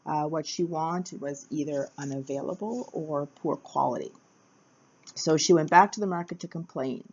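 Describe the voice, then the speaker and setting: A woman with a low voice narrates a capoeira history lesson at an easy pace. She hesitates often, filling gaps with uh between phrases. The recording sounds a little dull and lo-fi. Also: normal speed, a little mellow, breathy edge. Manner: hesitant, many uh fillers, plain. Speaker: capoeira teacher. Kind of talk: casual educational narration.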